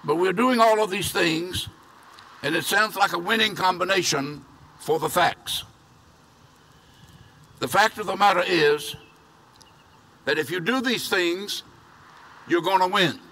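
A man's voice: high voice, a little slow, long pauses, pushed and bright, a little hiss or hum. An older man preaching outdoors from a podium to a drive-in congregation, earnest and repeating key phrases for emphasis. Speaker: pastor. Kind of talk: sermon. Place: outdoor church parking lot. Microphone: podium mic from a distance.

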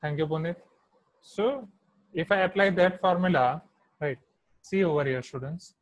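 A man with an Indian accent teaching a maths class online, dictating each term slowly as he writes on a whiteboard. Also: fairly high pitch, low-quality recording. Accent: Indian English. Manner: slow, deliberate dictation of terms while writing on a whiteboard.

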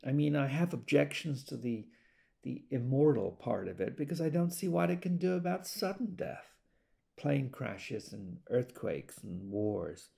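Earnest man, gravelly edge. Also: medium pitch, animated, a little slow, mellow. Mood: hesitant, calm. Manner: hesitant, earnest. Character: earnest, gentle man devoted to a self-help group. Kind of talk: dramatic monologue in an audio drama.

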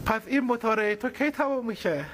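An earnest, bossy little male puppet with a very high-pitched voice. He pleads and insists in a lovesick way, in TV comedy style, over faint background noise. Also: a bit bright. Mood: pleading, exasperated. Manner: insistent, pleading, dictating phrase by phrase.

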